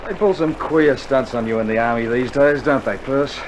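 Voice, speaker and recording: An actor with an Australian accent playing a wry, teasing army soldier in an old radio drama. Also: male voice, mid-range voice, moderate pace, crowd noise, close to an everyday voice. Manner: sarcastic, exasperated banter. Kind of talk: 1960s radio drama acting. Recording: vintage vinyl radio play, noisy background.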